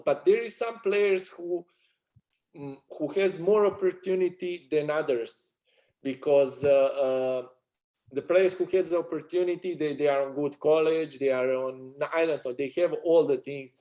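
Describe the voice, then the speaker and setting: A man's voice: on the high side, dark tone, rough recording. A man from Serbia with a Serbian accent, a sports agency owner speaking non-native English in a casual interview, full of restarts and fillers.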